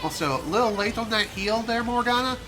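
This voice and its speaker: A man streaming a video game, chatting casually and rambling with self-amused asides, his voice a little hoarse from allergies. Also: extremely high pitch, expressive, brisk. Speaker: gaming streamer. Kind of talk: casual let's play commentary. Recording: game music behind voice. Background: game music.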